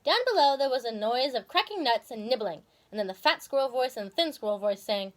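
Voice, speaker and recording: A woman reading a children's story aloud in a dramatic, expressive way. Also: fairly high pitch, fairly quick.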